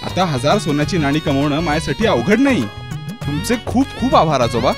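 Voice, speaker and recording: A man voicing an eager, polite, naive young villager in a children's fairy-tale cartoon, earnest and excitable in his delivery. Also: a little high, a little fast, over music, close to an everyday voice. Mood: excited. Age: young adult.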